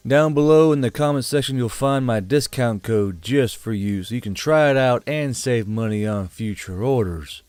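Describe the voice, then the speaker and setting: A man's voice: mid-range voice, moderate pace, hardly any pauses, darkish tone, clean tone, dry recording. A man delivering an informal sponsor message as a host.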